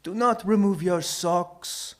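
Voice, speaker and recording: A man's voice: sits high, slow pace, slight background noise, voice pushed up. A man voicing a mysterious, gleeful old magical shoemaker in a theatrical, teasing storybook manner. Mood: knowing, hushed. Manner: theatrical, teasing, rhyming speech. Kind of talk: storybook character in live poetry telling.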